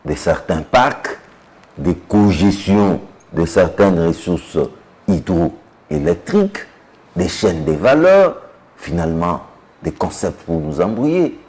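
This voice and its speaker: A man's voice: low-pitched, very animated, slow, darkish tone. Male tv host, pushy and skeptical, voice jumps up and down a lot, cuts in. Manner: pointed, skeptical, interrupts often. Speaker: TV show host. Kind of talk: challenging interview questions.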